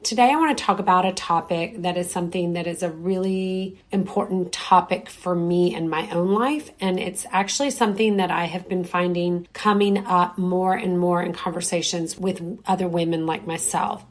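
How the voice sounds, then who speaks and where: A middle-aged woman hosting a podcast in a reflective, conversational way, her voice hoarse and strained. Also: fairly low pitch, fairly even intonation, normal speed, few pauses. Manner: reflective, conversational, many fillers. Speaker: podcast host. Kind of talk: solo podcast talk.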